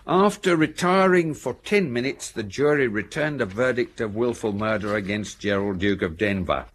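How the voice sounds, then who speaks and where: An actor playing a composed, deferential manservant with an English accent, speaking formally and precisely in a radio drama. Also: male voice, mid-range voice, expressive, lightly acted. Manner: formal, measured, respectful. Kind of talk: British radio drama acting.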